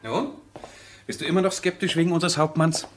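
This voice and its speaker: An actor playing an impulsive, romantic gentleman husband, lively and teasing, speaking in a 1970s radio drama. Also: a little high, fairly quick, faint music behind, lightly acted. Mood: teasing, enthusiastic.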